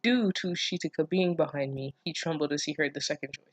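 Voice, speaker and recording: Someone reading fanfic out loud and roasting it, sweary and sarcastic, super dry audio, medium pitch. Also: brisk, dry recording. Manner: profane, mocking, incredulous. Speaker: fan fiction reader and commentator. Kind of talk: casual reaction reading with sarcastic asides.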